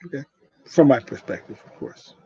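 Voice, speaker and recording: An older man speaking as a professor taking questions after a presentation, explaining informally and haltingly with many repeated starts. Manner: halting, with frequent repeated starts and self-corrections. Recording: muffled, dull lo-fi audio.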